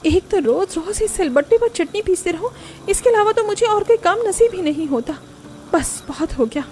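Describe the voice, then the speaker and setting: A woman's voice: very high voice, animated, moderate pace, warm tone, slight background noise, voice pushed up. A woman voicing a frustrated young wife in a family cartoon, whiny, indignant and emphatic, over music. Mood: fed up. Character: frustrated, complaining young wife and daughter-in-law. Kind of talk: Hindi family cartoon voice acting.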